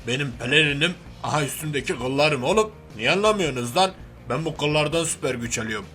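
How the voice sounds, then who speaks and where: A man puts on a crude, hot-headed oaf from a comic game cartoon. His voice is sharp and a bit raised in pitch, full of brash, slangy grumbling and threats. Music plays behind him. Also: expressive, brisk. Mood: indignant. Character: crude, hairy, hot-headed Turkish oaf playing superhero.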